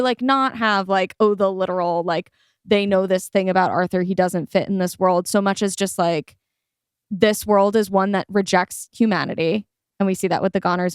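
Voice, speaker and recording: A woman discusses ideas on a podcast in an informal, emphatic way. Her voice is mid-pitched and crisp with a creaky edge, recorded dry. She sounds conversational while picking things apart. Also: no room sound. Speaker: podcast panelist. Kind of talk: casual analytical discussion.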